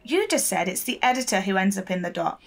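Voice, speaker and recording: An actress playing an earnest, stubborn, idealistic newspaper reporter in an audio drama, speaking defensively. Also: female voice, mid-range voice, sing-song, fast talker. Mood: defiant.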